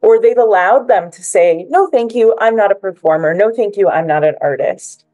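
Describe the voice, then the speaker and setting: A woman's voice: mid-range voice, normal speed, dark tone, no echo, soft background music. A woman giving prepared, persuasive remarks as a panelist at a book presentation, joining remotely.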